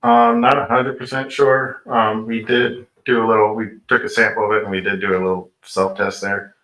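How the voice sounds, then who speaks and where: A man's voice: on the low side, little pitch movement, moderate pace, fairly bright tone. A man giving formal testimony as a witness, answering plainly and politely. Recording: echoey playback, distant mic.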